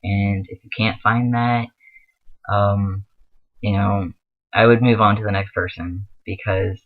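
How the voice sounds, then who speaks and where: Young man, earnest, rambling with fillers, lowish voice, tinny phone-like sound. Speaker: vlogger. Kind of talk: casual advice vlog.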